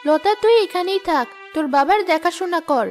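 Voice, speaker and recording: Woman playing a high-voiced worried village mother. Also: a little fast. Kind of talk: Bengali fairy-tale cartoon voice acting. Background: music.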